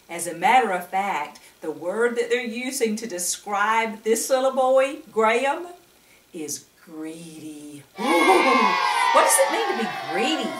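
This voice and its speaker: An older woman reads a picture book aloud to children in a grandmotherly way, pausing for chatty asides and coaxing questions. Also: on the high side, fairly bright tone. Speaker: storyteller reading to children. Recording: echoey room, distant mic.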